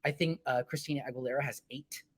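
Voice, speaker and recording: Guest singer: a man with a naturally high voice, halting and full of restarts. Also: fairly even intonation. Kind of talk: casual interview chat.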